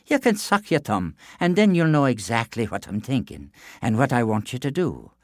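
A man voicing a clever, calm giant's wife in a storybook tale, soothing and sly in a raised voice. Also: medium pitch, normal speed, no echo, clean studio sound, pitched above the performer's own voice, natural voice.

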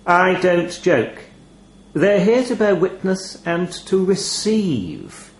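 A man's voice: sits high, sing-song, slow pace, roomy sound, voice pushed up, full character voice. A man voicing an ancient talking toll raven in a story, pompous, weary and wistful like a retiring bishop reminiscing. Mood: grave, dry. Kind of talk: audio short story character dialogue.

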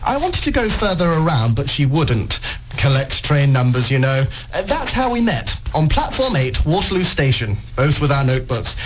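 A British actor playing a posh, bumbling, cheerful accomplice to an amateur burglar in a radio play, with a flustered, hesitant, jaunty manner. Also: male voice, on the high side, very animated, normal speed, over music. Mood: flustered bluffing. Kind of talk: radio comedy-mystery acting. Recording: muffled, clearly noisy radio play recording.

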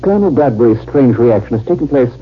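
An actor playing a secretive, calculating doctor with deliberate dramatic emphasis in a 1950s radio drama. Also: male voice, medium pitch, animated, husky. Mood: intent, measured. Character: secretive, calculating male doctor with sinister hidden identity. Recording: dull, noisy old 1950s radio recording.

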